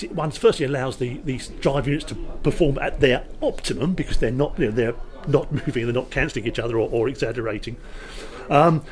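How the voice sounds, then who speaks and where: A man of medium pitch presents a product as a company representative at a trade show. His delivery is informal and explanatory, with emphatic sales patter and dry, joking asides. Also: a little mellow.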